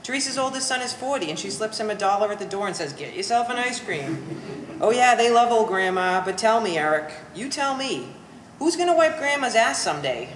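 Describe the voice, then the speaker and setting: A woman's voice: a little high, expressive, fairly quick, lightly acted. A woman playing a bitter, sardonic daughter in a stage play, speaking in pompous, precise big words. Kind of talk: one-act stage play performance. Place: art gallery, live staged reading. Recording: reverberant gallery hall, faint hiss.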